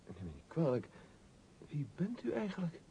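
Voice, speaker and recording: Wary young traveller, animated pitch. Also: male voice, moderate pace, creaky, breathy edge, heavily acted. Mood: guarded. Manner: polite, hesitant, guarded. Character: young male architect traveller, polite, hesitant, wary. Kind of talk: radio drama acting. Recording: dull old radio recording, rumbling noise.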